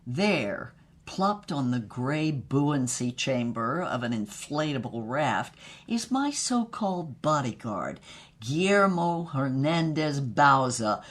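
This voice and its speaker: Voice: mid-range voice, sing-song, takes it slowly. A performer playing a bold, sultry female spy and film star, telling her exploits in dramatic first-person narration.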